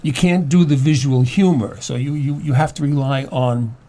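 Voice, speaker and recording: An older man with a medium-pitched voice speaks as a guest in a conversational interview. He explains in a halting, stammering way, often repeating words as he goes. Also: steady tone, mellow. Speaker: studio guest, playwright and former teacher.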